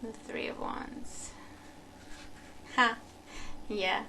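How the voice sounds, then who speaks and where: A woman's voice: very slow, background noise. A woman from Romania, a tarot reader who muses aloud while unboxing a deck at her table. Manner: musing, thinking aloud with fillers and restarts. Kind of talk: casual unboxing commentary. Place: home room at a table.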